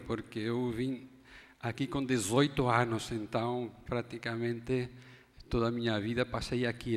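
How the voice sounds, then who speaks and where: A Spanish-born man with a Spanish accent, an academic speaker giving a measured, halting forum talk. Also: medium pitch. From Spain. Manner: measured, halting, restarts phrases. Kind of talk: formal lecture talk. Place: conference hall. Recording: long hall reverb.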